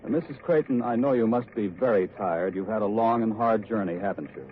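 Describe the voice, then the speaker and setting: Vintage radio interviewer male actor, courteous, deliberate, formal, narrowband with faint hiss. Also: on the low side, lightly acted. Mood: courteous, probing. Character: courteous field newsman gently questioning a witness. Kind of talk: 1940s radio news interview. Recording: tinny, noisy vintage radio recording.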